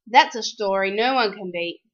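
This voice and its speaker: A woman reading a rhyming children's storybook aloud in an expressive, animated, sing-song way. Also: mid-range voice, normal speed, highs cut off.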